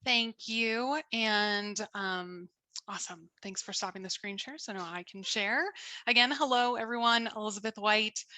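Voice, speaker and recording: A woman's voice: medium pitch, bright, forceful tone, no echo. A woman from Colorado giving an upbeat, chatty talk as a guest speaker on a video call. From Colorado, USA. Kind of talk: virtual presentation.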